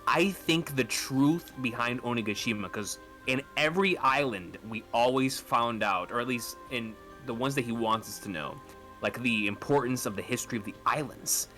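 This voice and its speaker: A man with a bright, forceful, mid-pitched voice chats casually on a fan podcast. He is excited and emphatic, often repeating himself to stress a point, with faint music underneath. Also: moderate pace. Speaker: podcast speaker discussing manga.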